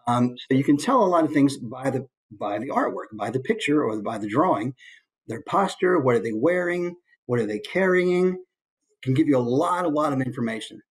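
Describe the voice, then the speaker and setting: A man's voice: medium pitch, animated, normal speed, rough recording. An American man, a voice actor, giving an informal instructional talk and musing aloud through examples.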